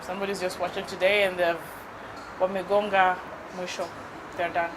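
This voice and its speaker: A woman with a Kenyan accent hosting a TV talk show, playful and lively, asking questions and teasing her guest. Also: on the low side, moderate pace, noisy background. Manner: playful, lively, mixing in Swahili phrases. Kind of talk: casual interview chat.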